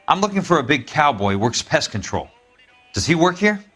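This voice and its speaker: An actor playing a tough, hard-boiled American investigator in a video game, blunt and quietly threatening. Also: male voice, on the high side, sing-song, fairly quick, husky, faint music behind, full character voice. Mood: tough, probing.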